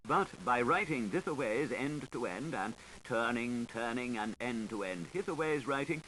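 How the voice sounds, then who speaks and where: A man reads literary fiction with theatrical relish, his mid-range voice rising and falling freely. His phrasing is deliberate and playful, broken by dramatic pauses. The 1980s audiobook recording carries a faint hiss. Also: medium pitch, lively intonation, fairly bright tone, poor audio. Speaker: audiobook narrator.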